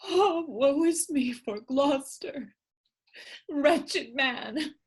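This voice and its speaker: A woman playing a fragile, pious medieval king in classical verse, with a husky voice. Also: sits high, lively intonation, drawn-out words, full character voice. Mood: anguished horror. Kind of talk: classical verse stage acting. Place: remote staged reading over video call.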